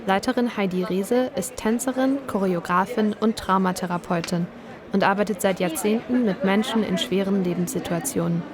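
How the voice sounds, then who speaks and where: A woman reading scripted documentary narration in an even, measured way. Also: mid-range voice, crowd noise.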